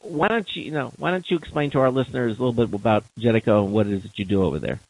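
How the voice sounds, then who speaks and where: Gravelly-voiced talk radio host. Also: male voice, medium pitch, lively intonation, racing, dry recording, low-quality recording. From Carolinas, USA. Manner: rambling, full of fillers and restarts.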